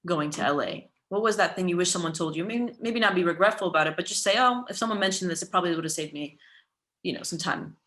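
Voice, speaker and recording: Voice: mid-range voice, bright, forceful tone. Curious, encouraging podcast host. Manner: curious, encouraging, many back-channel murmurs. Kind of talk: casual interview chat.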